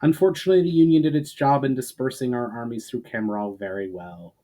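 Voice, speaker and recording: A man's voice: mid-range voice, fast talker, dark tone, lightly acted. Man voicing a sober army commander. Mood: grave report. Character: sober male military commander reporting troop strength.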